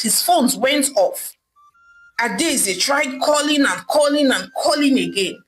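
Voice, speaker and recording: A woman's voice: fairly high pitch, a bit bright, rough recording. A woman storyteller narrating a folktale dramatically, repeating words for emphasis.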